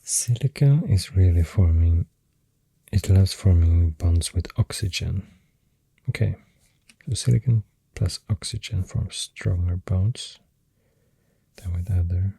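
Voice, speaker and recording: An ASMR creator with a Swedish accent, speaking very softly and often near a whisper, thinking aloud hesitantly into a close microphone. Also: low voice, slow pace, dry recording. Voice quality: very soft, breathy, often dropping to a whisper. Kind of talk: soft-spoken ASMR, rambling science facts.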